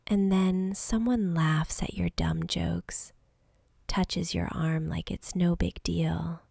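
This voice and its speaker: A woman's voice: on the low side, gravelly, darkish tone, breathy edge, dry recording, full character voice. A woman voicing a lonely, centuries-old vampire girl in an intimate ASMR roleplay, whispering breathily and close to the mic with tender, hesitant longing. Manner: slow, intimate, hesitant pleading. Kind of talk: whispered soft-spoken ASMR romantic roleplay.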